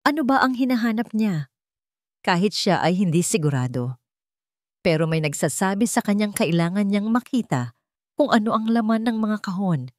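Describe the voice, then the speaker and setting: A woman with a Filipino accent narrating a scripted drama story in a measured, suspenseful way. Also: medium pitch, lively intonation, darkish tone, no echo, clean studio sound.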